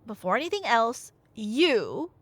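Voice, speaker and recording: Animated, high-voiced woman telling stories casually. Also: measured pace, no room sound. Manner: animated, conversational, wry asides and rhetorical questions. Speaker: audio roleplay storyteller, playing a nerdy girlfriend. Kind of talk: casual, rambling storytelling in an ASMR roleplay.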